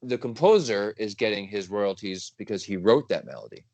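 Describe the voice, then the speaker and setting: A man's voice: fairly low pitch, expressive, normal speed, darkish tone, dull audio. A man guest composer in a casual interview chat, rambling, laughing easily and correcting himself as he goes.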